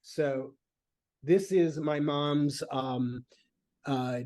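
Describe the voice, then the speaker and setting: Male guest from Michigan, fairly high voice, halting, filler-heavy casual chat. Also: a little mellow. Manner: rambling, halting with many fillers.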